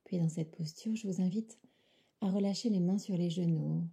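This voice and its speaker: Woman, meditation guide, very mellow and dark, heavily breathy, near-whisper, calm, soothing, unhurried phrases. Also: on the low side. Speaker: yoga nidra guide.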